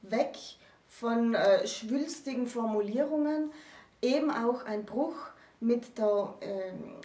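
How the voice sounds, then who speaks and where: A woman's voice: fairly high pitch, slow, warm tone. Woman explaining in a roomy, distant recording. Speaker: presenter of a literature explainer series. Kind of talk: explanatory literary discussion. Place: small indoor room with bookshelves.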